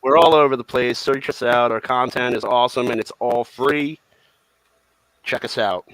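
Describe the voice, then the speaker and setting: A man co-hosting a casual podcast, telling stories in an animated way. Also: medium pitch, normal speed, no room sound, low-quality recording. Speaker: podcast co-host and writer. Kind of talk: casual chat.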